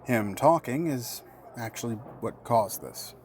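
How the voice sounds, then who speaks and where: A polite, guarded friend in an audio drama. His mid-pitched voice is measured and formal, over light background ambience. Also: lively intonation, slight background noise. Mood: troubled.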